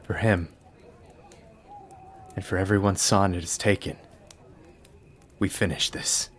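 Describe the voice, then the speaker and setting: A young man acts out an adopted son mourning the chief who raised him, in an audio drama. He talks quietly and earnestly. His voice sits on the low side. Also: steady tone, slow, a little hiss or hum. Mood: somber. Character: young male warrior, adopted son, grieving.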